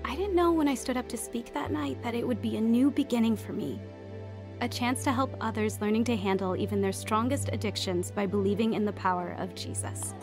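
A woman's voice: a little high, brisk, over loud music, lightly acted. A woman playing an earnest, repentant teenage girl in an audio drama, speaking in a confessional way. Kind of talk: dramatized first-person narration and dialogue.

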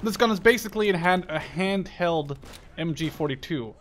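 Young man, higher-pitched, casual game commentary. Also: expressive, moderate pace, fairly bright tone. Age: 20s. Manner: rambling, casually profane. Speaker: gaming video creator. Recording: game gunfire and artillery noise. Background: shooter game gunfire and explosions.

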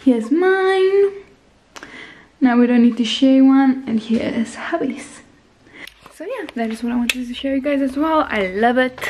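A woman's voice: sits high, animated, measured pace, darkish tone, a little hiss or hum. Chatty young woman vlogging at home. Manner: rambling, talks straight to the camera while cooking. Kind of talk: casual chatty vlog. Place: home kitchen and bathroom, indoors. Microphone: handheld phone camera at arm's length.

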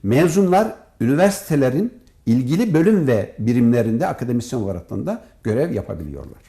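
A man speaking as a professor and interview guest, giving formal, emphatic and deliberate answers. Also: medium pitch, big pitch swings, creaky, mellow. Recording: roomy echo.

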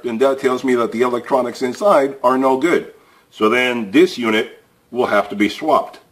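A man hosting a casual hands-on repair tutorial, thinking aloud with fillers as he works in an indoor workshop. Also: medium pitch. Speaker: DIY repair channel host. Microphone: camera overhead of workbench, voice off-screen.

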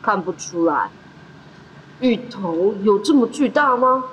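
A woman playing a gentle, anxious fourteen-year-old boy in an audio drama, hesitant, earnest and polite. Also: mid-range voice, animated, slow pace, highs cut off, a little hiss or hum. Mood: curious, tentative. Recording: background music under the voice.

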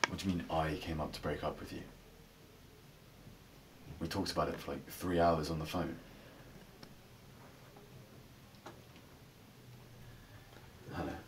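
A man's voice: a bass voice, little pitch movement, fairly bright tone. An actor playing a troubled, hesitant young man going through a breakup in a naturalistic film. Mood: troubled, pleading. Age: 20s. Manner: halting, hesitant. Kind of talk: naturalistic film acting, halting dialogue. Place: student bedroom with bay window, indoors. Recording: distant location sound, faint room noise.

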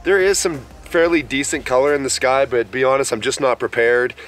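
A man in his thirties vlogging at an outdoor campsite, talking in a slow, worn-out, easygoing way after being sick. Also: medium pitch, fairly even intonation, faint music behind. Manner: laid-back, tired, unhurried with many fillers. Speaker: camping vlogger. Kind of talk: casual camp vlog talk to camera. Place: outdoor pine forest campsite.

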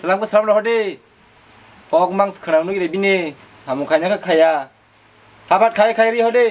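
A man with a Kokborok accent acting in an amateur short film as a relaxed village mechanic. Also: high voice, moderate pace, close to an everyday voice. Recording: dialogue under loud music bed.

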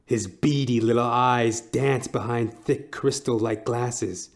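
A man narrating a scripted horror story in a deliberate, dramatic, suspenseful manner. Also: mid-range voice, fairly even intonation. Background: music.

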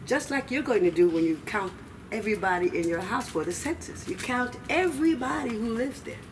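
Brief: female children's storyteller, middle-aged, lively pitch swings, recorded at a distance in a noisy room. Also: brisk. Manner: expressive, dramatic, with asides to listeners. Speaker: library storytime reader. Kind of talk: animated read-aloud for young children. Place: small children's room indoors.

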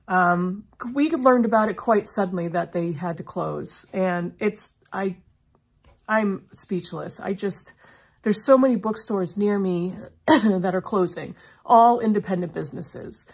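A woman's voice: medium pitch, slightly rough. A middle-aged woman chatting casually to camera as a book vlogger, her voice slightly froggy from a lingering cough. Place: small indoor room lined with bookshelves.

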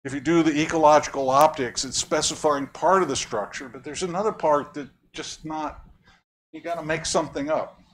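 A man's voice: on the high side, normal speed. Man lecturing in a room, wry and chatty. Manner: conversational, quizzes audience members, wry jokes. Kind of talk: academic lecture with audience questions.

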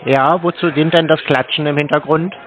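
Higher-voiced man, insistently questioning. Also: fairly even intonation, normal speed, fairly bright tone. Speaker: prank caller to scam call centres. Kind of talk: conversational phone call. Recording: slightly dull lo-fi call audio.

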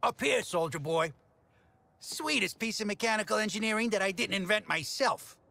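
A man's voice: high-pitched, expressive, normal speed, gravelly. A man playing a brash, boastful inventor in a game, informal and cocky. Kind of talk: video game cutscene acting.

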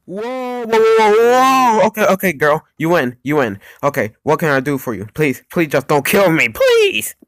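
A man voicing a brash young male blocky game hero in a fan animation, excitable and jokey. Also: on the high side, moderate pace, hardly any pauses, no echo, studio quality, faint music behind, voice pushed up. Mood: panicked pleading. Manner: excitable, jokey, yelps and laughs often. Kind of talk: amateur fan animation voice acting.

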